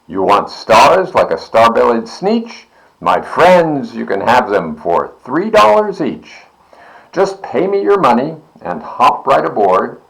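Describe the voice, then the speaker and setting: A man's voice: mid-range voice, sing-song, takes it slowly, creaky, full character voice, rough recording. A man voicing a slick, cheerful swindling travelling salesman with emphatic showman patter, from a children's storybook. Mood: eager, selling.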